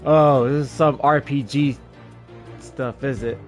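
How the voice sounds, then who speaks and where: A man's voice: medium pitch, fairly even intonation, takes it slowly, faint music behind. A man giving casual live-stream commentary while playing a video game.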